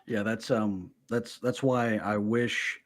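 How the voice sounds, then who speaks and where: A man's voice: on the low side, fairly even intonation, slow pace. Enthusiastic stammering man from Florida. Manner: friendly, enthusiastic, stammering restarts. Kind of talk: casual podcast chat.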